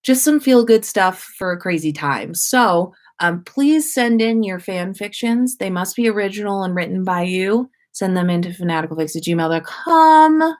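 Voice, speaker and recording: Expressive woman, informal storytelling host. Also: mid-range voice, moderate pace, few pauses. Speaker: host of a fan fiction story-time livestream. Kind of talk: expressive story reading and casual livestream chat.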